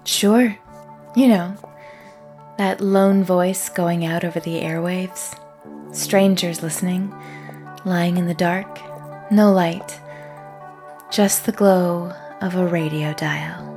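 Slow, beguiling young woman. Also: lowish voice, steady tone, darkish tone, soft background music. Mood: dreamy, wistful. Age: young adult. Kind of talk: horror audio drama acting.

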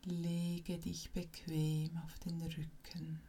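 A woman leading a guided yoga nidra meditation as instructor, speaking softly, almost in a whisper, in a calm and soothing manner with long pauses between short phrases. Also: fairly low pitch, a little hiss or hum. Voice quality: soft, breathy, near-whisper.

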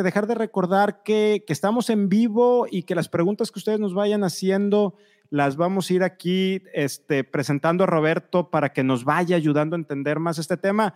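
A man hosting a live online interview show, chatty and upbeat, often using fillers. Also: sits high. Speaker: host. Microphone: video call.

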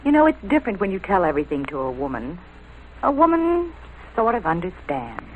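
A woman's mid-pitched voice with widely swinging intonation, on a faintly hissy old radio recording. a coolly persistent questioner in a 1940s crime drama, coaxing and sympathetic yet probing. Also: huge pitch swings, a little fast, phone-quality sound. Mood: coaxing, understanding. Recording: noisy vintage radio recording.

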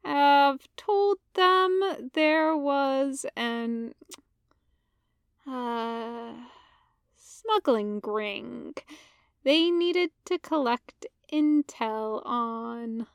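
Woman doing a whiny sheepish young guy from a story, high voice, kinda defensive and hesitant. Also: drawn-out words, no room sound, clean studio sound, heavily acted. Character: whiny, sheepish, lovesick-meddling young man. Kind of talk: storybook character voice.

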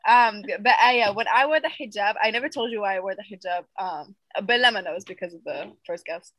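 A woman's voice: medium pitch, a little fast, a bit bright, no echo, low-quality recording. A young woman co-hosting a casual chat, talking with lots of fillers and laughing easily. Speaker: podcast co-host.

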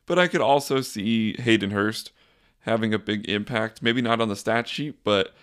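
A young man hosting a casual solo sports podcast, talking in a flat, monotone, rambling way. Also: fairly low pitch, moderate pace, clear, resonant tone. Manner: monotone, says so himself; relaxed, rambling with fillers and restarts. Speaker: podcast host.

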